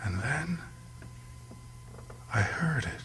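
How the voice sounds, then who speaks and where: An actor playing a haunted lighthouse keeper who tells his ordeal in a radio play, with gravelly dramatic storytelling that drops to hushed tones. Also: mid-range voice, sing-song, drawn-out words, in a large hall, noisy background. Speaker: narrator and lead actor. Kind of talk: live radio theatre.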